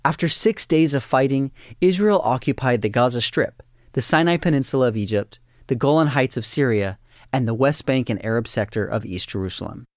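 A man narrating a documentary script in a steady, even reading. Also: mid-range voice, a little fast, no echo, studio quality.

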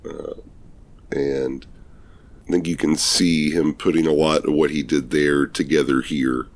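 Deep husky man, hoarse from a cold. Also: fairly even intonation, measured pace. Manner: laid-back, rambling, self-mocking. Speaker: podcast co-host. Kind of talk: casual podcast chat.

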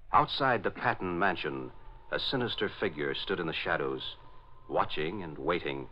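Dramatic, animated American radio announcer man. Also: deep voice, a little hiss or hum. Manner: dramatic, formal enunciation. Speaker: announcer and narrator. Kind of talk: 1940s radio announcing, scripted narration. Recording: dull lo-fi 1940s radio broadcast.

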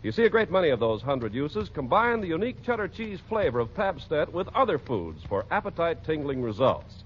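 A man's voice: a little high, big pitch swings, brisk, a little hiss or hum. Swooping, emphatic vintage male radio announcer. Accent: American. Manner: polished, emphatic salesmanship. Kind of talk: 1940s radio announcing and scripted narration. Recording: muffled vintage 1940s radio broadcast. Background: music.

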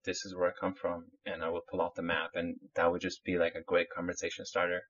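A man from Chile chats on a podcast in a very low, husky voice, rambling through restarts and fillers. The audio is muffled and lo-fi, as if from a modest setup. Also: completely flat delivery, quick pace, fairly bright tone. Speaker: podcast guest. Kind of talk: casual podcast chat.

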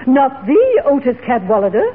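An actress playing a gushing, snooty society matron in a 1940s radio comedy, with an affected manner, on a tinny old recording. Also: female voice, sits high, big pitch swings, normal speed, slightly rough, exaggerated voice. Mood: gushing, fluttery.

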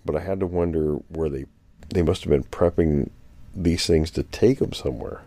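Very deep-voiced man recounting field finds. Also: moderate pace, warm tone, no echo. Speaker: guest anthropologist. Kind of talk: casual podcast chat.

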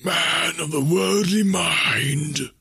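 A man voicing a mournful chained ghost in a classic story reading, with slow, solemn, wailing declamation. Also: fairly high pitch, vocal fry, bright, forceful tone, breathy edge, dry recording, clean studio sound, pitched above the performer's own voice, exaggerated voice. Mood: solemn, booming. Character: mournful male ghost in chains, tormented by remorse.

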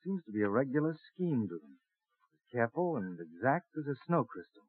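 Through a tinny old radio recording comes a young colonial farmer, earnest and full of curiosity. His pitch swings widely as he speaks his old-fashioned plain speech in a dramatic radio style. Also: sing-song, brisk, breathy edge. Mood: wondering, earnest.

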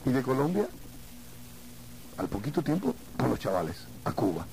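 A mature man from Argentina reminiscing in a conversational interview, haltingly, repeating words as he searches for the next. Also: medium pitch, sing-song, creaky, dark tone, a little hiss or hum, poor audio. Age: middle-aged or older. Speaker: singer being interviewed.

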